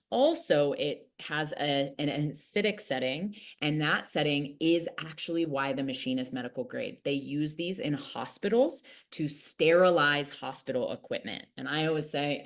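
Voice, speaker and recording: Low-voiced woman in her late thirties pitching products. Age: late 30s. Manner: upbeat, earnest, uses casual fillers like "like" and "right". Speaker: product distributor and mentor.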